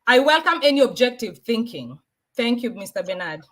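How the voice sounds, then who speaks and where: A woman's voice: a little high, big pitch swings, moderate pace, a bit bright. A woman with a Kenyan accent speaking as a panelist in a political debate, arguing earnestly and repeating her points.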